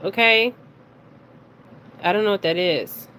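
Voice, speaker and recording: A woman hosting a casual spiritual reading, speaking haltingly, stumbling over words and often checking in with her listeners. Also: medium pitch, pushed and bright. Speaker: spiritual reader and card reader hosting her own video. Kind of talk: casual psychic reading, reading news details aloud. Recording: clearly noisy recording with background ambience.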